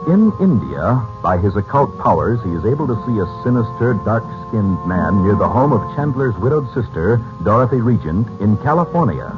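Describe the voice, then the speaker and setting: A man's voice: on the low side, sing-song, moderate pace, hardly any pauses. A man with an American accent giving formal, dramatic scripted narration as a 1940s radio announcer. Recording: muffled 1940s radio recording with music.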